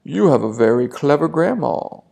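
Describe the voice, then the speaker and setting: A scheming big bad wolf from a fairy tale, voiced by a storyteller. The delivery is slow and sly, with a mellow, gravelly tone. He sounds flattering and hungry. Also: medium pitch, full character voice.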